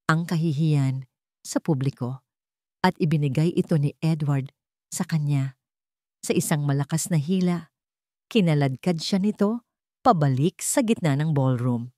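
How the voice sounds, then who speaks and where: A woman's voice: low voice, moderate pace, pauses often, warm tone, no room sound, clean studio sound. A woman narrator reading a scripted romantic drama in a dramatic storytelling style.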